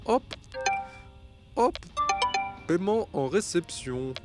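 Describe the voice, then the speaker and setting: An actor playing a confident, chatty, scatterbrained spaceship pilot in a French sci-fi audio comedy, talking casually and muttering to himself. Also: male voice, sits high, sing-song, extremely slow, over loud music, full character voice.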